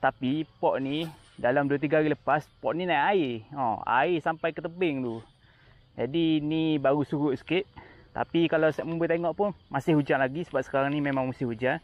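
A man with a Kelantanese Malay accent chatting casually through a fishing vlog, with quick excited exclamations, filmed outdoors on a riverbank with a body-worn action camera. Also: a little high, highs cut off. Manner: chatty, excited exclamations while reeling fish. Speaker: fishing vlogger. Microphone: chest- or head-mounted action camera.

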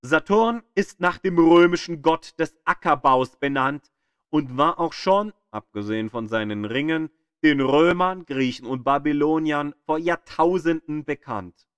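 Guy doing an educational audio tour, kinda high voice, measured storytelling, very dry sound. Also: fairly bright tone, smooth, no echo. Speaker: narrator of an audio tour of the solar system. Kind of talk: scripted educational narration. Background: music.

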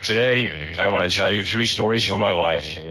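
A man's voice: low-pitched, moderate pace, pushed and bright. A man delivering a prepared speech in short, plain sentences.

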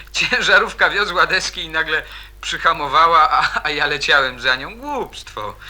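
A man's voice: on the high side, animated, unhurried, sharp tone, exaggerated voice. An actor playing an earnest, troubled schoolboy in a radio drama, insistent and hesitant as he repeats himself. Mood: pleading, evasive. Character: Janusz, stubborn, earnest, troubled schoolboy in his teens.

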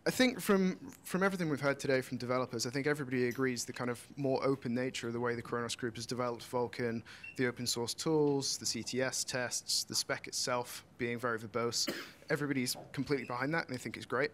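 A man's voice: fairly even intonation, fast, few pauses, a bit bright. A man in the audience putting a considered, orderly question to a panel. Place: conference room audience. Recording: faint room rumble and noise.

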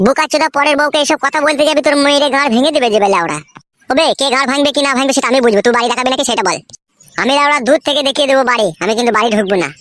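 A man's voice: very high voice, racing, a bit bright, no echo. A man voicing a brash, foul-mouthed young cartoon villager with a rural West Bengal accent, grumbling in crude comic banter. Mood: scheming banter.

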